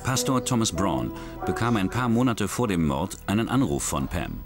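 A man narrating a true crime documentary in a measured, dramatic storytelling manner. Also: low voice, big pitch swings. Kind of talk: scripted narration. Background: music.

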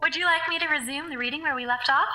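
An actress playing a polite, unflappable space station computer with formal, matter-of-fact delivery in a radio drama. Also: female voice, high-pitched, fast, sharp tone. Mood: politely helpful.

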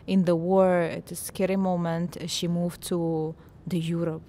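A woman's voice: on the low side, little pitch movement, unhurried, warm tone, a little hiss or hum. A woman hosting an interview in a studio, asking questions and affirming with short replies. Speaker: podcast host. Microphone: boom-arm studio mic.

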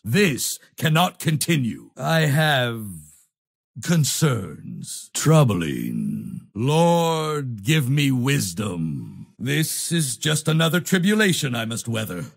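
A domineering crusader knight for a video game, proud and devout to the point of zealotry. He declaims slowly and solemnly in a gravelly voice. His pitch swings dramatically as he pronounces his imperious judgments. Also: full character voice. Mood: troubled, devout. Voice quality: raspy, gravelly. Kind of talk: video game character voice lines.

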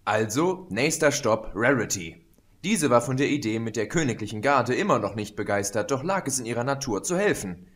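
Casting: man as steady audiobook narrator, medium pitch, even delivery. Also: normal speed. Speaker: audiobook reader. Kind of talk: scripted narration.

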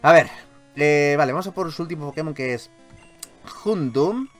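A man with an accent from Spain giving casual, excitable gaming commentary, repeating his phrases and swearing freely. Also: a little high, normal speed. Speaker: gaming YouTuber, host. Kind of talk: casual, excitable Pokémon let's play commentary. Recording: game music behind voice. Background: video game music.

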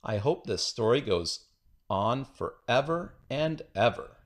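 A man's voice: medium pitch, lively intonation, takes it slowly. A man voicing an earnest, clever young schoolboy from a children's storybook, speaking emphatically. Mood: cheerful.

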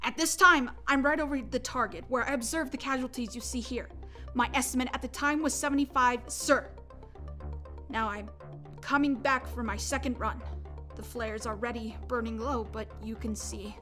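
Lady acting as a crisp military pilot in a radio play, sharp voice, faint music. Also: fairly high pitch, moderate pace. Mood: urgent.

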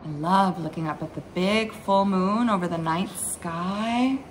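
A young woman reading a children's picture book aloud in an expressive, dramatic way, drawing out words and dropping into hushed asides. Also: lowish voice, measured pace, slight background noise, poor audio. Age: young adult. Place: small bright room indoors at home.

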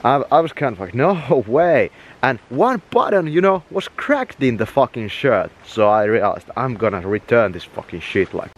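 A man's voice: medium pitch, expressive, moderate pace, muffled recording. A young man from Finland with a Finnish accent, chatting casually and swearing freely as he walks and films himself at arm's length outdoors. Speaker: vlogger. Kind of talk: rambling walk-and-talk vlog. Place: outdoors, walking through woods and town. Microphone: handheld camera at arm's length.

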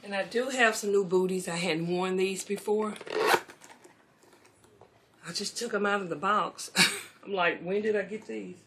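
A woman's voice: medium pitch, a little slow, long pauses, slight background noise. A middle-aged woman, chatting casually to viewers as she tidies her beauty room, filmed on a camera mic in a bedroom. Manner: chatty, relaxed, talks to viewers as y'all. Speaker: beauty vlogger. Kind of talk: casual chat while tidying. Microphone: camera mic, moving around.

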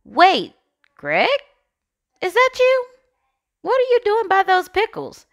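A woman's voice: very high-pitched, huge pitch swings, slow pace, voice pushed up, full character voice. A woman voicing an anxious, bossy egg with a dramatic, exclaiming manner in a storybook read-aloud. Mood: searching, puzzled. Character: Shell, anxious bossy egg rallying missing friends.

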